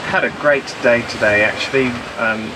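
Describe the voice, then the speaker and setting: A man in his fifties giving a candid, relaxed update to a camera held at arm's length on a sailboat. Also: mid-range voice, moderate pace. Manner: relaxed, candid, laid-back. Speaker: sailing vlogger. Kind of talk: casual chat on camera. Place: outdoors on a sailboat cockpit. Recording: loud wind noise. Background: loud wind.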